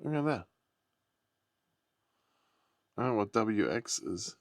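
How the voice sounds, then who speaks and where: A man's voice: lowish voice, expressive, fairly quick, no room sound, clean studio sound. A man giving casual, unscripted unboxing commentary, thinking aloud in short, tentative remarks. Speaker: product unboxing vlogger.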